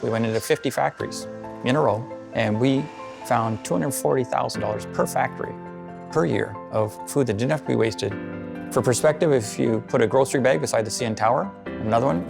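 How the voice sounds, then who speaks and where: A man speaks quickly and persuasively, as in a documentary interview. His voice has a gravelly edge. Music plays clearly underneath. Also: medium pitch. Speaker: food waste consultant.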